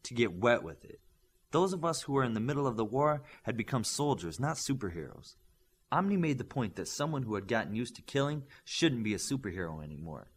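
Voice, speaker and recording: A man narrating a superhero story as an audiobook, reading from a script with dramatic, deliberate phrasing. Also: mid-range voice, big pitch swings, breathy edge.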